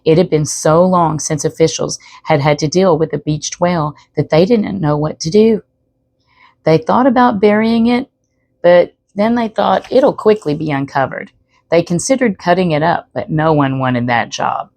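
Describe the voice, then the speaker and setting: A woman's voice: low-pitched, normal speed, vocal fry, darkish tone, dry recording. A woman storyteller reading aloud expressively, with chatty asides to the listener. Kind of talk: expressive read-aloud narration.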